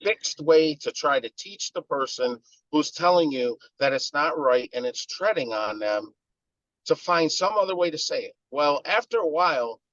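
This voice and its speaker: A man's voice: fairly high pitch, expressive, normal speed, fairly bright tone, no echo, muffled recording. A man co-hosting a casual chat, speaking in a heated, emphatic way.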